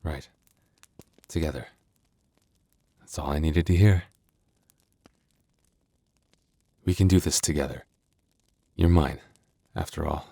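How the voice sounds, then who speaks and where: Deep, close-up playful werewolf boyfriend acted by a man. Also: creaky, warm tone, dry recording, full character voice. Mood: reassured, tender. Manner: conversational, teasing, halting when flustered. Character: playful, devoted young male werewolf boyfriend, soft-hearted and teasing. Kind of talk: intimate boyfriend roleplay ASMR audio.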